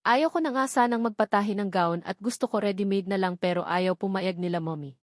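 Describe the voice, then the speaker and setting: A woman's voice: mid-range voice, fairly quick, dry recording. Woman reading a novel, dry close sound. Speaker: audiobook narrator. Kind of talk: scripted first-person novel narration.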